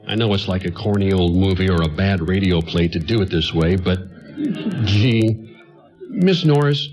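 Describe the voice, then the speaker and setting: An actor playing an earnest, bashful father with a halting, flustered manner in a nostalgic 1940s-style radio play. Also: low-pitched, darkish tone, soft background music, close to an everyday voice. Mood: nervous, earnest. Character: earnest, bashful widowed father, nervously smitten ad man.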